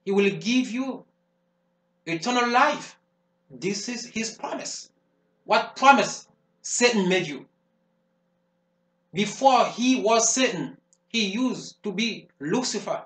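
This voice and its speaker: An actor playing a fervent preacher who speaks in emphatic, repetitive sermon phrases. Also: male voice, high-pitched, expressive, slow pace, pauses often, a bit bright, full character voice. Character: fervent male preacher warning of the devil. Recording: roomy echo.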